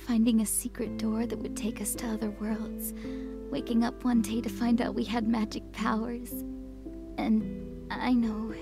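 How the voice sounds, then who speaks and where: A woman playing a troubled, apologetic, grieving teenage girl in a visual novel, speaking softly and hesitantly. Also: fairly high pitch, creaky. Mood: wistful. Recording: music behind the voice.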